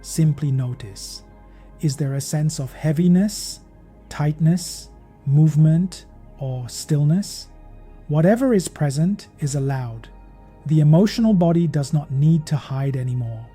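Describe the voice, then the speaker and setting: A man narrating a guided meditation in a gentle, measured, soothing manner, as a podcast host leading listeners. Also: fairly high pitch, husky. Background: meditation music.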